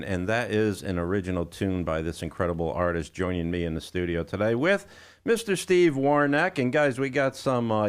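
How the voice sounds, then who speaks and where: A male radio host presents music trivia in an upbeat, emphatic announcer style. His pitch swings widely from high punches to low asides, and he keeps a jokey manner throughout. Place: radio studio.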